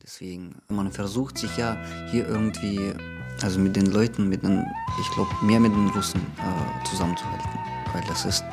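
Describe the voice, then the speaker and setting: A man, speaking hesitantly and reflectively in a documentary interview. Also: on the low side. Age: 20s. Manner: hesitant, many fillers and restarts, reflective.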